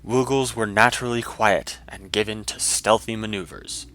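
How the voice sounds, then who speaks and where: A man's voice: on the low side, sharp tone. A man narrating a scripted fantasy story in a dramatic style.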